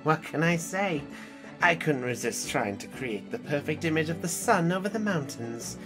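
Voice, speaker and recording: A casual, boyish traveling painter creature acted by a woman talks in a low voice with lively swings of pitch. He is laid-back, an audio drama character heard over music. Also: normal speed. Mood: casual, upbeat.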